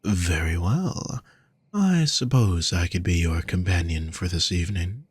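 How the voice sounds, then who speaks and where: A man playing a gentle, teasing male demon companion in a roleplay audio, speaking courteously with genteel endearments to the listener. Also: deep voice, animated, measured pace, breathy edge, no echo, full character voice. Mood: courteous, inviting.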